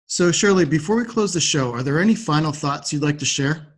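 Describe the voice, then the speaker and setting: A man's voice: on the high side, lively intonation, brisk. A man hosting a conversational interview podcast in a supportive, affirming manner. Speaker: podcast host.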